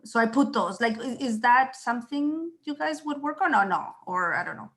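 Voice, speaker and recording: Woman on a video call, higher voice, lots of restarts as she thinks out loud. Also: animated, moderate pace. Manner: thinks aloud with many restarts and asides. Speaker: client at a school.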